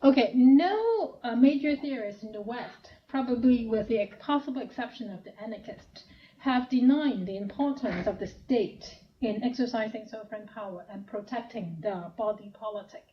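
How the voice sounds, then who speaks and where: A woman with a Hong Kong accent giving an academic lecture in a soft, hesitant voice with fillers, recorded in a lecture room. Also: fairly high pitch, animated, normal speed, lo-fi sound. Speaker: academic speaker.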